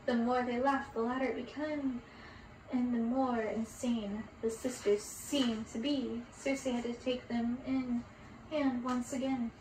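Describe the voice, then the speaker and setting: A woman's voice: a little high, flat delivery, a little slow, a little mellow. A woman narrating a story, reading it aloud. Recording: roomy, distant mic, faint noise.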